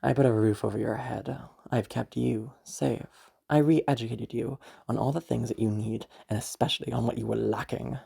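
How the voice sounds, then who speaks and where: Woman playing a gravelly, controlling, sanctimonious mother. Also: very deep voice, fairly even intonation, dark tone, pitched below the performer's own voice, full character voice. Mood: cold, reproachful. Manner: condescending, slow deliberate taunting with hums. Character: controlling, sanctimonious, menacing mother of a grown daughter. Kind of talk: amateur audio roleplay drama.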